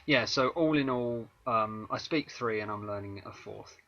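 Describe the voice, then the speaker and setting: Chatty English man with a bright voice. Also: on the low side, moderate pace. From England. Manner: chatty, with frequent ums and restarts. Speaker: guest musician. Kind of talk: casual interview chat. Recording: dull lo-fi video call audio.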